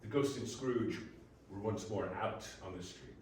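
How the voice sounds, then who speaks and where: A man's voice: lowish voice, unhurried, darkish tone. A man giving scripted storytelling narration as narrator of a readers theater piece. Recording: very echoey room, distant mic, faint noise.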